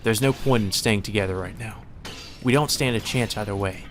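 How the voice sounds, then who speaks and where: A man's voice: fairly low pitch, a little fast, close to an everyday voice. A man voicing a tense, bossy, cautious young woman who gives urgent orders in a visual novel. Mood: firm, tactical. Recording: music playing behind the voice.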